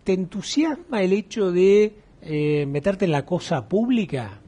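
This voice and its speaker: Brief: middle-aged Argentine man, husky and fairly high, lively studio interviewer. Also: a little slow. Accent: Argentine Spanish. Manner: animated, gestures a lot, acts out quoted voices. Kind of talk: casual interview chat.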